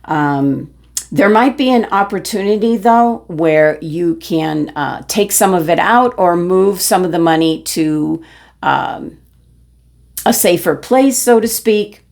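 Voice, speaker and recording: A woman with a hoarse, fading voice, casually talking through a tarot reading with many fillers and restarts. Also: lowish voice, expressive, slow pace, a little mellow. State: losing her voice, throat clearing. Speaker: tarot reader.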